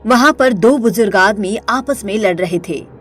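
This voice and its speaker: A woman reading scripted narration for an animated moral story as its storyteller. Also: mid-range voice, normal speed, faint music behind.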